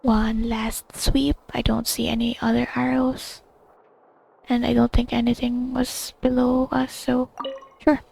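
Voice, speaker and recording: A woman's voice: fairly high pitch, completely flat delivery, unhurried, creaky, darkish tone, husky, rough recording. A woman playing a video game and giving casual commentary to herself as she goes. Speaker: video game player recording a playthrough.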